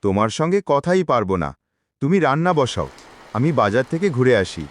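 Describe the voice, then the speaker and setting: A man voicing an animated, put-upon village husband. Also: medium pitch, a little fast, close to an everyday voice. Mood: exasperated. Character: Ramu, poor village guard, worried, henpecked husband. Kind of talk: animated cartoon dialogue. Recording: faint background noise.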